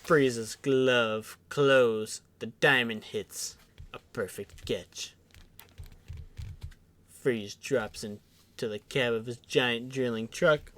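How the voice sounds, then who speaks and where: A man's voice: mid-range voice, fairly even intonation, takes it slowly, a bit bright. A man narrating scripted superhero action dramatically as the narrator of an audio drama. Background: music.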